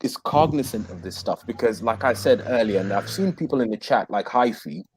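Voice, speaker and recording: A man's voice: fairly low pitch, animated, brisk, a little hiss or hum, rough recording. A man in his thirties joining a casual panel discussion over a video call, earnest and formal, often calling others sir. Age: 30s. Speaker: panel guest.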